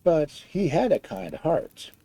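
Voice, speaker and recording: A storyteller reading a fairy tale aloud in an expressive style. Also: mid-range voice, slow, gravelly, slightly rough.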